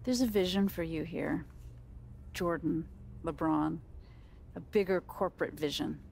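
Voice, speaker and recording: An actress playing an assured, uncompromising corporate sports agent in a video game, delivering lines like a polished pitch. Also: female voice, a little slow, creaky, a little mellow, a little hiss or hum. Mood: persuasive. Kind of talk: naturalistic game cutscene dialogue.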